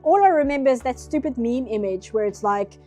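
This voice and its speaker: A woman's voice: fairly high pitch, lively intonation, a little fast, warm tone, faint music behind. A nasal-sounding woman streaming herself painting, chatting casually in rambling asides. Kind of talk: casual chat while drawing.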